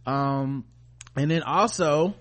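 A man's voice: fairly high pitch, slow pace, fairly bright tone. An American man co-hosting a podcast in casual, profane, slangy chat, playfully addressing his listeners. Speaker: podcast co-host.

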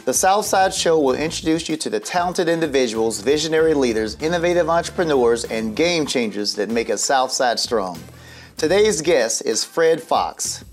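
A man's voice: fairly high pitch, animated, normal speed, soft background music. A man hosting a local interview show, asking questions and giving many short acknowledgments. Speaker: show host. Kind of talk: interview host.

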